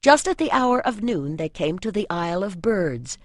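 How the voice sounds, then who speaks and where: A woman reading scripted fantasy fiction as an audiobook narrator, with measured literary storytelling. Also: medium pitch, very animated, no room sound, studio quality.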